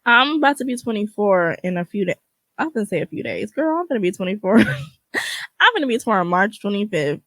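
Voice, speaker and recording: A woman's voice: mid-range voice, sing-song, a little fast, fairly bright tone, no room sound. A woman in her twenties chatting casually and frankly as a solo podcast host, slangy and free with swearing. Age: 20s (twenty-three).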